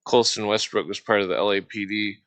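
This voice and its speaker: A man hosting a casual, rambling discussion, speaking haltingly and stammering over his words. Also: fairly low pitch, steady tone, a bit bright.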